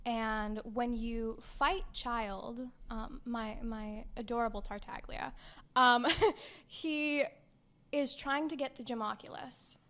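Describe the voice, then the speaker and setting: Playful young woman with a higher-pitched voice. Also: steady tone, slow pace, pushed and bright. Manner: playful, giggly, slangy. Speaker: podcast guest. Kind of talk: casual explanatory chat.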